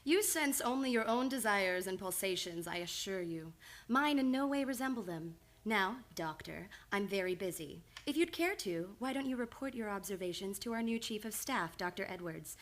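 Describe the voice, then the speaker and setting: A woman's voice: mid-range voice, expressive, natural voice. A woman playing a precise, reserved young lady psychiatrist. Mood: cool, rebuffing. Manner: formal, measured, articulate. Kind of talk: old-time radio play acting.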